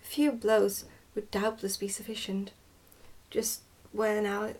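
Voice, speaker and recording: A woman reads a storybook in a hushed ASMR manner, slipping at times toward a whisper. Her delivery is careful and deliberate, and she sounds a little way back from the microphone. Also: medium pitch, flat delivery, recorded from a distance. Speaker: ASMR host reading a book aloud. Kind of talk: ASMR storybook reading, soft and partly whispered.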